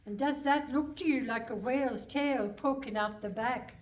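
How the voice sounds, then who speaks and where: Woman playing a high-voiced, prim detective mum. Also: moderate pace, natural voice. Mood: inquisitive, prim. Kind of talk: live comic radio theatre acting. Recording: slightly distant stage mic.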